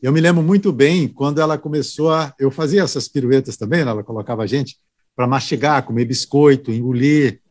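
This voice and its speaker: A man who does voice-over work, telling stories from his career in a relaxed way during a chat with colleagues. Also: medium pitch, brisk. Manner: relaxed, reminiscing anecdotal storytelling. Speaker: voice-over announcer. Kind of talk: casual chat among broadcasters.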